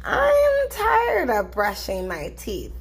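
A woman voicing a reluctant, curious little boy from a storybook, expressive and prone to complaint. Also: high-pitched, takes it slowly, slight background noise, exaggerated voice. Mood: grumbling.

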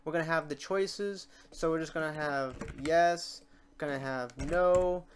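A tutorial host chatting informally and thinking aloud in a meandering way while working through software steps. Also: medium pitch, steady tone, a little slow. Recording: noisy room, keyboard and mouse clicks. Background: typing and mouse clicking.

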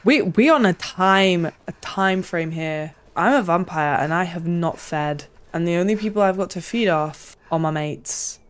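A woman's voice: fairly low pitch, a little slow, hardly any pauses, clean tone. A young woman giving casual, playful, chatty commentary while she plays a video game. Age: young adult. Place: small indoor room at home.

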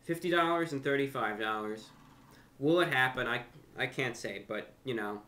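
A young adult man with an American accent speaks casually to his viewers as the host of his own channel. His voice is bright and forceful, sitting at an ordinary male pitch. He is earnest and conversational, often restating a phrase to drive it home. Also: normal speed. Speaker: host of a video channel and radio show. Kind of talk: casual monologue to camera. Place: furnished room at home.

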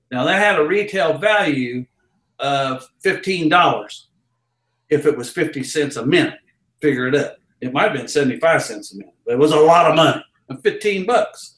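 An older man in his sixties with a Southern American accent, telling stories as an interview guest in a folksy, loud carnival-barker manner, recorded by a far camera in a small office. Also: a little high. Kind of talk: storytelling in a casual interview. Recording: roomy office echo.

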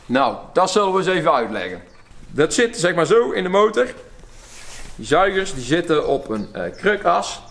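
A young man, a car mechanic hosting a workshop video, explaining engine damage in a chatty, lively way. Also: high-pitched, normal speed. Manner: lively, chatty, laughs often.

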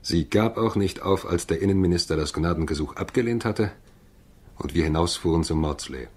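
Grave, low-voiced male lawyer. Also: fairly quick, darkish tone, breathy edge. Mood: sombre. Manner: sober, grave. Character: sombre male lawyer, doubting colleague and narrator. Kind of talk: German radio drama narration and dialogue.

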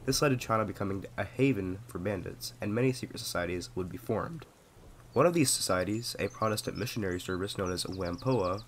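A young man who is sick reads a scripted history explainer in a steady, explanatory way, then signs off casually. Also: sits low, a little hiss or hum. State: sick, voice sounds off. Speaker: history video narrator. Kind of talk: scripted narration.

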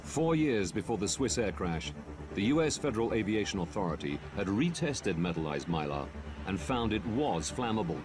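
A man reading scripted documentary narration in a measured, grave manner. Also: lowish voice, very animated, noisy background. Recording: music bed behind narration.

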